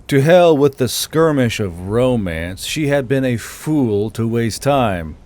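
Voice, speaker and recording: A man's voice: mid-range voice, animated, slow pace, darkish tone. A man narrating a scripted fantasy story.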